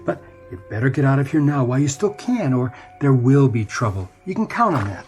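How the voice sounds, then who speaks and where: A male actor's stern, protective father from a radio drama. He speaks firmly at a middle pitch with animated inflection, gruff authority, and faint music underneath. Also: a little fast, lightly acted. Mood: stern, threatening.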